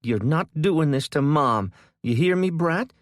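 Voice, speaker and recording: A furious, bossy young big sister, voiced by a man, yells and scolds in a high, strained voice. She sounds loud and worked up. It is an audiobook reading with dry, close sound. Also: animated, a little slow, dry recording. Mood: scolding. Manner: yelling, scolding.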